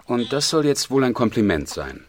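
An actor playing a dry, wary, sardonic police detective in a naturalistic radio crime drama. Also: male voice, medium pitch, very animated, normal speed, a little hiss or hum, close to an everyday voice. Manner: dry, hesitant, ironic.

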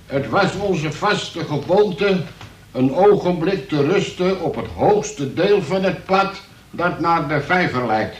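A gloomy, resentful grown son tells his story for a radio play. His delivery is slow, wry and hesitant, in a slightly high male voice. The recording is roomy and a little distant. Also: expressive, slight background noise. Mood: gloomy, sardonic. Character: gloomy, resentful son tied to his invalid mother, narrating. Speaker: narrator and lead character. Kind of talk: Dutch radio drama, confessional narration.

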